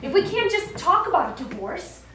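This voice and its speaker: An actress on stage plays a brisk, coolly scornful woman with a high voice that swings widely in pitch. She is formal and firm, heard in an echoey theatre from far off. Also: big pitch swings, bright, forceful tone, heavily acted. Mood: indignant, dismissive. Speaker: stage actor. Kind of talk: live comic one-act stage play acting. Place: small theatre studio stage.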